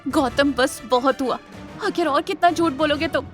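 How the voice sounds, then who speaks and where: Actress doing upset scolding wife, audio drama, really high voice, music under it. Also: female voice, normal speed, natural voice. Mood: angry, scolding. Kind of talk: Hindi audio drama acting.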